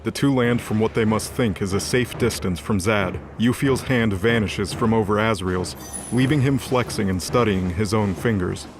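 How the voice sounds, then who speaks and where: A man with a fairly low voice narrates a fantasy audio drama. He reads scripted action in a dramatic storytelling style, with music clearly underneath. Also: normal speed.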